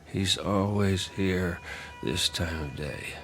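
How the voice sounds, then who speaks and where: Low-voiced, desperate exhausted businessman. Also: full character voice. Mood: dazed, agitated. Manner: halting, stammering, breathless pleading. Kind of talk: radio drama acting. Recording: loud music behind radio drama.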